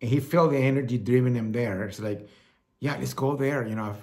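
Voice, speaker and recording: Man, salvadoran spanish accent, middle-aged, talk show host, rambling casual monologue, mid-pitched voice. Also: a little fast, a little mellow. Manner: rambling, repeats words, acts out quoted voices.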